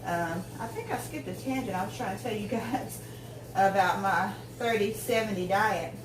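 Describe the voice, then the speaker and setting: A rambling woman in her forties talks while she cooks in her home kitchen, drifting between tangents with plenty of ums. She stands away from the camera, so her voice sounds distant and bounces around a roomy, echoey space. A steady layer of room noise sits under everything she says. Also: medium pitch, moderate pace. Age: 40s. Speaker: home cook vlogger. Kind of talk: casual chat while cooking.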